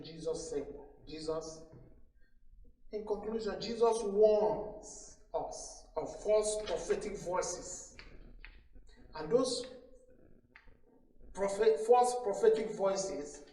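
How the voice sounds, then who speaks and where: A man's voice: high voice, warm tone. A man preaching a sermon as a pastor, solemn and admonishing, repeating phrases for emphasis. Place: church hall during a service. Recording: echoey room, distant microphone.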